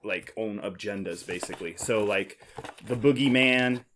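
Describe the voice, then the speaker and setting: A man's voice: lowish voice, moderate pace, noisy background. A man hosting a casual board game podcast, explaining in a teasing, jokey way. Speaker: podcast host.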